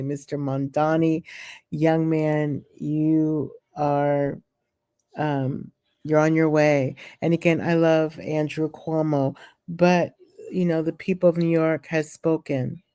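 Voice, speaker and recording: Woman, news-reading vlogger, slow delivery, halting over names, chatty asides. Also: low-pitched, little pitch movement, dark tone, clear, resonant tone. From California. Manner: reads haltingly, stumbles on names, then adds chatty opinions. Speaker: political commentary vlogger. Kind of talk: reading news aloud and commenting.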